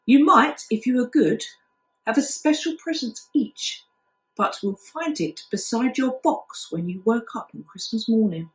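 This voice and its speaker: A woman voicing a mother tabby cat in a storybook, firm and gentle, speaking in a measured way. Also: fairly high pitch, expressive. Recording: echoey room.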